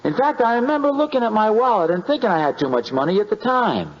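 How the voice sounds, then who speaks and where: A man's voice: sits high, very animated, fast. An American man acting a bumbling, easily flustered suburban husband in a 1950s radio comedy, with a hesitant, stammering delivery. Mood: defensive, eager. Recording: muffled, noisy vintage radio recording.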